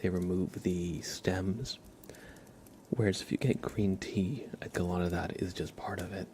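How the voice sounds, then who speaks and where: A man hosting an ASMR livestream, chatting gently and informally in a soft, breathy voice that often slips into a whisper, close to the microphone. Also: low voice, fairly even intonation, a little slow, a little hiss or hum. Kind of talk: soft-spoken ASMR, casual live chat.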